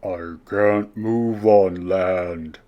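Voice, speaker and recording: A man voicing an immense, gentle male humpback whale from a storybook, with ponderous, moaning, drawn-out words. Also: sits low, steady tone, highs cut off. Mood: distressed. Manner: slow, drawn-out, moaning delivery.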